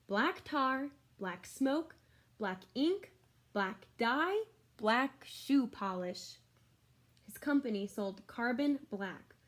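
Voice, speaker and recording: A woman schoolteacher reading a picture book aloud to children, expressively, with chatty explanatory asides to her young listeners. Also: on the high side.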